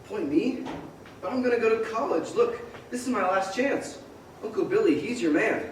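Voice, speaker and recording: A man's voice: high-pitched, lively intonation, moderate pace. Echoey, restless young man in radio drama, acted. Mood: agitated protest. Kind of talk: old-time radio drama stage acting. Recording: echoey theater, distant mic, faint noise.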